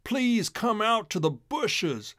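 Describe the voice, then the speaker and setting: A man's voice: high voice, very animated, slow, bright, forceful tone, no room sound, studio quality, pitched above the performer's own voice, heavily acted. A man voicing scheming, mean male mink villains in a children's storybook, with an exaggerated, pushy manner. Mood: sly coaxing.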